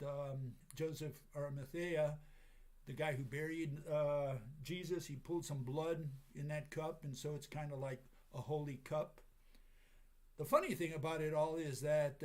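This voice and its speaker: Raspy-voiced man lecturing through a cold. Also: on the high side, darkish tone. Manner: halting, many fillers, chuckles at himself. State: hoarse from a cold. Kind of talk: casual livestream lecture.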